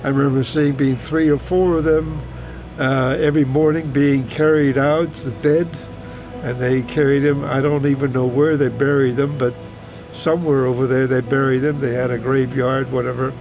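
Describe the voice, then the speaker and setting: A man in his eighties from Hungary, a native German speaker, recalls his life in a halting, repetitive, plain-spoken way, as in an oral history interview. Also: medium pitch, flat delivery, poor audio. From Hungary, German-speaking household. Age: 80s. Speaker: retired teacher recounting his life. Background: music under the voice.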